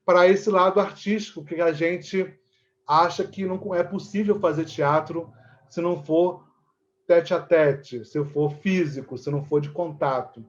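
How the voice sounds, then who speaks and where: A man's voice: on the high side, steady tone, measured pace, dull audio. A man with a Brazilian Portuguese accent explaining things conversationally as an interview guest, often checking in with little tag questions. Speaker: interview guest, theatre teacher and actor.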